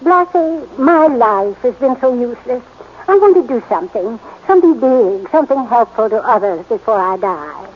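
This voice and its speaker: High-voiced, fluttery old society lady played by a woman. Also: lively intonation, normal speed, lightly acted. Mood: earnest, fluttery. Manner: polite, fluttery, dainty phrasing. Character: genteel, sweetly earnest seventy-year-old society lady. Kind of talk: 1940s radio acting. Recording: muffled, noisy old radio recording.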